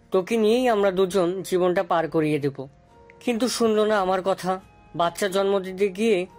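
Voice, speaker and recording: A man's voice: high voice, natural voice. A man playing a tender, middle-aged widowed father in an audio story drama. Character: tender, grieving widowed father of a grown daughter.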